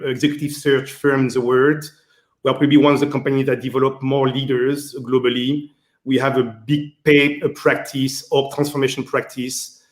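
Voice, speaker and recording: A man from Martinique with a French accent, answering interview questions as a guest executive, hesitant and full of fillers. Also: mid-range voice. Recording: roomy echo.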